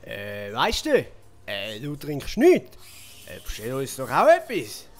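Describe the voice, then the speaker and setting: An actor playing a boastful, self-pitying village drunkard in a Swiss German comic audio play, hesitating and repeating himself. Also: male voice, medium pitch, huge pitch swings, takes it slowly. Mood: cocky, chummy. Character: boastful male village drunkard, washed-up local legend. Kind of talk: comic audio drama acting.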